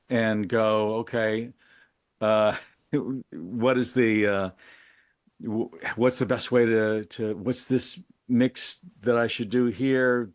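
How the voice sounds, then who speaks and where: A middle-aged man talking reflectively as an interview guest, in a halting, musing, colloquial way about his creative work. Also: mid-range voice, no echo, clean studio sound. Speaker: interview guest, musician and memoir author.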